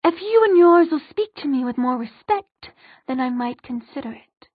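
A woman's voice: high-pitched, expressive, normal speed, dry recording, muffled recording, pitched above the performer's own voice. A woman voicing a haughty, cunning, ageless fairy queen in an audiobook, speaking formally and imperiously.

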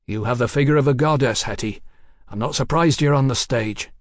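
A man playing a tipsy, earnest educated gentleman. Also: medium pitch, fairly quick, dry recording, studio quality, natural voice. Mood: smitten, tipsy.

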